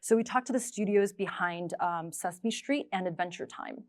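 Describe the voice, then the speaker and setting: A woman's voice: lowish voice, normal speed. A woman in her thirties giving a prepared conference presentation on a lapel mic. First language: English. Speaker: creative producer, presenter. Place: indoor studio stage. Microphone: clip-on lapel mic.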